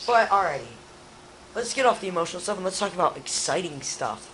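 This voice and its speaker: Young male co-host, hoarse and raspy, rambling, faint noise behind. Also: high-pitched, lively intonation, fast, a bit bright, low-quality recording. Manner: rambling, restarts often. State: hoarse from a cold. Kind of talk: casual chat.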